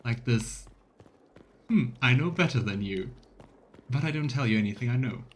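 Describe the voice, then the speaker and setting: A man's voice: mid-range voice, animated, normal speed, slight background noise. A man giving casual, musing commentary while streaming a game, often trailing off mid-thought. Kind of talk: casual live let's play commentary.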